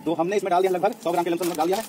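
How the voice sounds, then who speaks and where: A man's voice: high voice, fairly even intonation, very fast. A young man, narrating a casual step-by-step home experiment and chatting to viewers as friends. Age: young adult. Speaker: DIY experiment vlogger. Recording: loud background noise outdoors.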